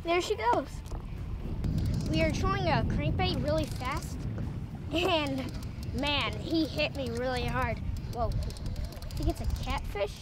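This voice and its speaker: Woman angler, high, slow, chatty, recorded on a boat with noise. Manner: relaxed, chatty commentary while handling fish. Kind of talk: casual on-water commentary. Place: outdoors on a boat on a lake. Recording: noisy outdoor boat audio.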